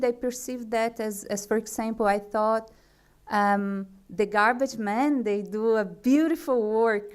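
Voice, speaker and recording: Slow-speaking Brazilian woman giving a talk. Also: medium pitch, clean tone. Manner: hesitant, many fillers and restarts. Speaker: conference speaker. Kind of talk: forum talk.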